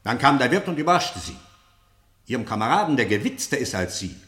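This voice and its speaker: An actor playing a stern, sceptical interrogator in a radio play, formal and insistent, with deliberate, pointed questioning. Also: male voice, mid-range voice, very animated, a bit bright, reverberant. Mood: pressing, accusing. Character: stern, probing male interrogator, sceptical and relentless. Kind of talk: German radio play acting, interrogation drama.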